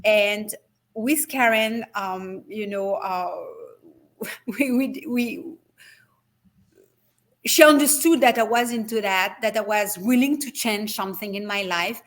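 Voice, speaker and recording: A woman's voice: medium pitch, takes it slowly, pauses often. A woman with a French accent, a guest talking in a casual interview over a video call, hesitant with many fillers and restarts. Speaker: guest, wellness retreat founder.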